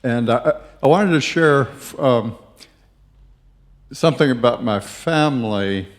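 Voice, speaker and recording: A man in the congregation sharing a family story at a church service, hesitant and full of pauses. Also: mid-range voice, lively intonation. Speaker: congregation member.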